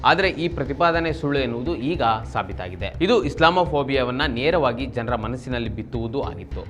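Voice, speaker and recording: A man's voice: mid-range voice, lively intonation, fairly quick, a bit bright. Man presenting a news explainer over music. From Karnataka, India. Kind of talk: scripted news explainer narration.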